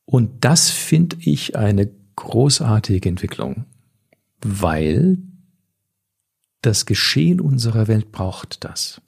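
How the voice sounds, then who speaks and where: Canadian man, German as second language, measured coach, gravelly, dry close sound. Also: lowish voice, sing-song, darkish tone, no echo. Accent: English-speaking Canadian. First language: English. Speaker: coach and podcast host. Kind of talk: scripted intro then interview answers.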